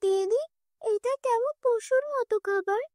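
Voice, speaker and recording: A woman's voice: extremely high pitch, a little slow, darkish tone, no echo, studio quality, pitched above the performer's own voice. A woman voicing a small, plaintive orphan girl who complains sadly in a children's cartoon story.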